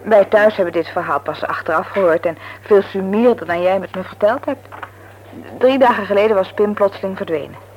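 Breezy young woman, played by an actress, self-assured and teasing, expressive vintage radio-serial style, mid-pitched, noisy old recording. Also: brisk, lo-fi sound, close to an everyday voice. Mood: matter-of-fact.

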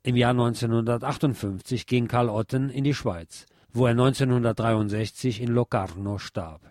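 A man's voice: mid-range voice, normal speed, a little mellow, dry recording. Man narrating, close and dry. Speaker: narrator and presenter of a radio series. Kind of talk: scripted literary reading and narration.

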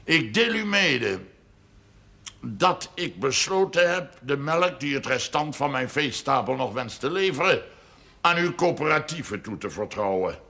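TV drama role: pompous, scheming farmer, forceful gravelly delivery, wordy and formal. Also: male voice, medium pitch, expressive, a little slow. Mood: pompous, solemn. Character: shrewd, self-important wealthy farmer making pious bargains. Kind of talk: television drama acting.

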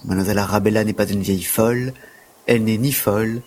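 Actor as a low-voiced, prim, aloof gymnastics teacher. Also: male voice, steady tone, quick pace, darkish tone, lightly acted. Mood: indignant. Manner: formal, dry, precise. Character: prim, aloof, penniless male gymnastics teacher, sickly but dignified. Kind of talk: French audio drama acting.

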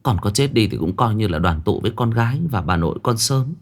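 A man's voice: fairly low pitch, lively intonation, brisk. A man voicing a grieving young mother who speaks to an old fortune-teller in a respectful, pleading way.

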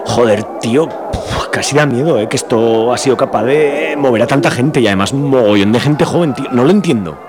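A man's voice: medium pitch, a little fast, background noise, lightly acted. A man playing a puzzled, easygoing young protester in a radio drama, slangy, with an accent from Spain. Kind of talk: amateur radio drama dialogue.

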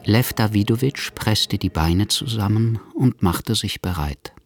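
Writer-narrator, low voice, sober, measured, literary radio drama narration, clear music behind. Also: male voice. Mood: sober, ominous. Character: sombre male Cuban writer narrating history.

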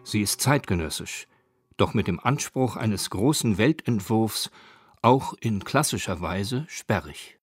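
Low-voiced man narrating, dry studio sound, music behind. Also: expressive, a little slow, slightly rough, no echo. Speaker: narrator of a radio feature. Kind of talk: scripted literary documentary narration.

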